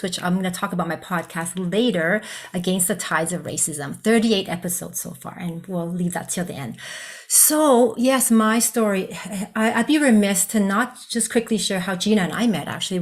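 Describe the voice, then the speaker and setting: A woman whose first language is Cantonese, a psychologist and counselor educator, telling her personal story in an earnest, conversational way on a video call. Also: lowish voice. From Vietnam. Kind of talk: virtual presentation, personal story.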